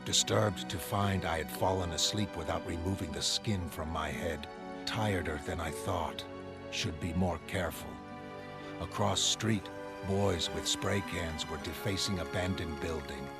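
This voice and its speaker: An actor playing a grim, obsessive masked vigilante reading his journal in clipped, growling sentences for a dark comic-book drama. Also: deep voice, unhurried. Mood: grim, weary. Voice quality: gravelly, growling. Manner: clipped, terse, monotone sentences. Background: loud music.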